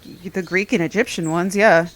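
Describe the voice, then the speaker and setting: A woman's voice: lowish voice, steady tone, normal speed, close to an everyday voice, low-quality recording. A woman playing a laid-back, skeptical friend on an adventure in an audio drama, with a casual, dry delivery. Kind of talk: scripted audio drama acting.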